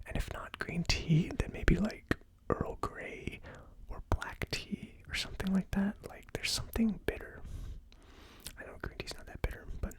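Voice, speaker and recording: A host giving a whispered ASMR tasting commentary in a breathy, unvoiced whisper, musing aloud in a slow, tentative way. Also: medium pitch. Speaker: whiskey tasting video host.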